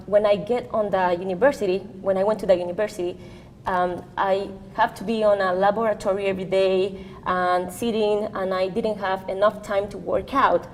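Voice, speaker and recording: A young woman from Costa Rica with a strong Spanish accent, answering as a guest on a community talk show and pausing often as she searches for words. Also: mid-range voice, big-room sound, slight background noise. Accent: Costa Rican Spanish. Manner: hesitant, many pauses with um. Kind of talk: interview answer.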